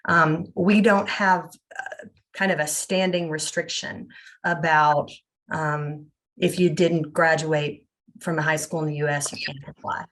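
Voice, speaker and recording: A woman's voice: low-pitched, fairly even intonation, measured pace, a bit bright, low-quality recording. A woman hosting an online scholarship webinar, explaining the process in a friendly, informal way with frequent fillers and restarts.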